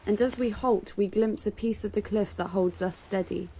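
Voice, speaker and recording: A woman's voice: mid-range voice, normal speed, mellow, breathy edge. Female reader for poetry recitation, middle register.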